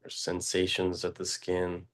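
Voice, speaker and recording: A man leading a guided meditation, speaking slowly and haltingly with long pauses and fillers. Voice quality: very low, flat voice. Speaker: meditation teacher.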